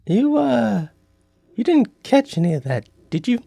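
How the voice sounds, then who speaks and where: A man playing an indignant, nervous young student mage in an anime parody dub, flustered and excitable. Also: very high-pitched, unhurried, vocal fry, no room sound, exaggerated voice.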